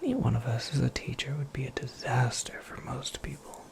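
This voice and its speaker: A performer voicing a cryptic, wry witch mentor in a breathy, unhurried ASMR whisper, with formal and dryly playful phrasing. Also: mid-range voice, big pitch swings. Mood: wry, explanatory.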